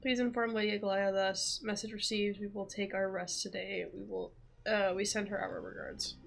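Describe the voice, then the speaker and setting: A woman's voice: medium pitch, little pitch movement, normal speed, gravelly. A woman streaming a video game with casual, playful, reactive live commentary.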